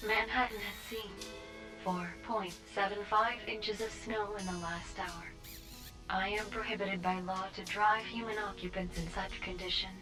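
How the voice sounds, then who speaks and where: A woman playing a polite, dutiful self-driving cab AI in an audio drama, formal, measured and apologetic. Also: medium pitch, fairly even intonation, natural voice, rough recording. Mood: polite, firm. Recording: music behind the voice.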